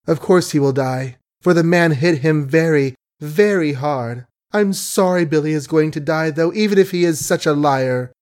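A dazed, weary young woman, as a male reader puts her on, her voice lifted well above his own and floating along in a soft, detached way. She sounds distant and strange, as though half somewhere else. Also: on the high side, moderate pace, hardly any pauses, pitched above the performer's own voice, close to an everyday voice. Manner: soft, drifting, oddly detached.

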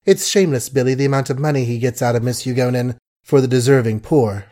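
Shrewd society lady of a novel, played by a male reader: emphatic, theatrical, mid-low voice. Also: fast talker, dark tone, close to an everyday voice. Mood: playful, scornful. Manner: earnest, emphatic, dramatic. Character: shrewd, worldly society woman, theatrical and emotionally intense. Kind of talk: audiobook character acting.

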